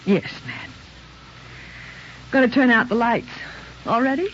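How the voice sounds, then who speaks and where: Slow, gentle mother on old radio. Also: on the high side, sing-song, a little hiss or hum. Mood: tender, serene. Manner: soft, unhurried. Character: gentle, agreeable middle-aged small-town wife and mother. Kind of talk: 1940s American radio acting. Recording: muffled vintage radio under loud music.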